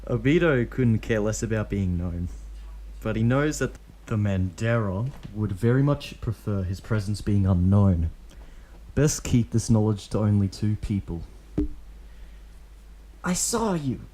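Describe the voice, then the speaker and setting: A man reading fan fiction aloud in a steady, plain way, breaking off now and then for dry joking asides. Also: fairly low pitch, unhurried, a little hiss or hum, rough recording.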